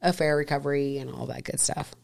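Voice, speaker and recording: A middle-aged woman co-hosting a podcast in casual chat, hoarse and raspy from a cold, chatty and quick to laugh. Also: sits low, steady tone, a little mellow. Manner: laughs often, chatty and playful. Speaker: podcast co-host.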